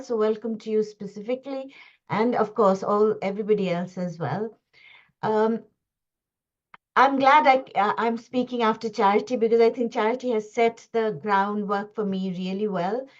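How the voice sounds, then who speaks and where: Woman speaker, from India, on a video call: earnest, restart-heavy, slightly high-pitched, muffled line. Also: a little mellow. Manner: earnest, halting, many restarts and fillers. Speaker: guest speaker. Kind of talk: online webinar talk. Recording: dull lo-fi call audio.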